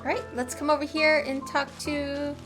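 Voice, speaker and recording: A woman's voice: high-pitched, little pitch movement, unhurried. A woman hosting a gameplay video with casual, chatty commentary and wry asides. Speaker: gaming channel host. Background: game music.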